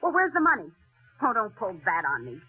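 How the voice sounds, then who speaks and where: An actress playing a bitter, nagging working wife in a 1940s radio drama, scolding with sharp sarcasm. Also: female voice, mid-range voice, wildly swooping pitch, fairly quick, slightly rough, faint music behind. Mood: angry, sarcastic. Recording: tinny band-limited old radio broadcast.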